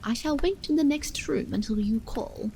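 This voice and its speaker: A woman's voice: fairly high pitch, normal speed, gravelly, dark tone, slight background noise, poor audio. Woman voicing an anxious, vexed wife. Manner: vexed, insistent, exclamatory. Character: anxious insistent wife, quick to vexation.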